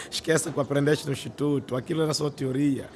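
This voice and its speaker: A man's voice: mid-range voice, a little fast, a little mellow, a little hiss or hum, natural voice. An actor playing a worldly, resigned senior teacher in a radio drama, familiar and a little patronising with his colleague. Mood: amused, advising.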